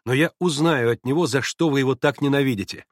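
A man's voice: medium pitch, fast, no echo, clean studio sound, natural voice. A man voicing an earnest, compassionate young man in an audiobook, speaking with urgency.

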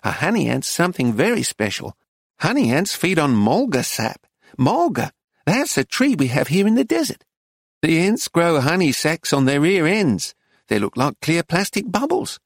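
A man voicing a brisk, overbearing middle-aged female art dealer in an audiobook, emphatic and bossy. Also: fairly high pitch, very animated, dry recording, studio quality, voice pushed up. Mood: sweetly explaining. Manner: scolding, emphatic saleswoman. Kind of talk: acted audiobook dialogue.

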